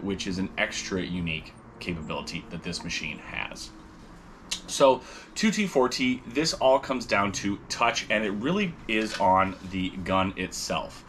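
A man's voice: lowish voice, a bit bright, a little hiss or hum. A man in his thirties explaining welder settings to camera in a casual tutorial manner, recorded in a garage workshop. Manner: plain explanatory, casual fillers. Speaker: welding instructor.

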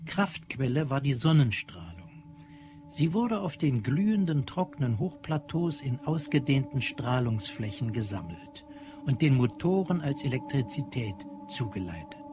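A man's voice: mid-range voice, very animated, moderate pace, music in the background. A man reading scripted literary narration for an audio drama.

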